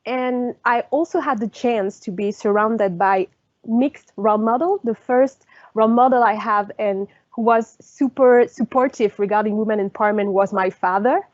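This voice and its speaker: Woman from cameroon, 40s, kinda higher voice, thinks out loud, dry muffled audio. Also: normal speed, dry recording. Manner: reflective, hesitant, thinks aloud. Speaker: guest. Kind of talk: personal interview answers.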